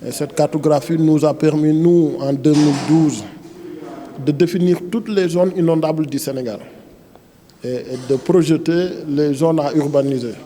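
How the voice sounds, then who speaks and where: A man's voice: fairly high pitch, moderate pace, mellow. A man with a Senegalese accent explaining things in an interview as an agency director, hesitating and restarting his phrases. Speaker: director general of a planning agency.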